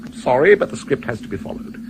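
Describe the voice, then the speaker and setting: An urbane, pompous British devil, played by a male actor, mocking and wry. His delivery swings widely in pitch, in a noisy old radio play recording. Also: big pitch swings, quick pace, close to an everyday voice. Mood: wry, brisk. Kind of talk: 1970s radio play acting.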